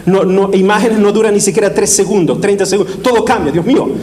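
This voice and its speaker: A priest preaching a sermon, asking the audience questions and repeating key phrases for emphasis. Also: male voice, high-pitched, fast, darkish tone.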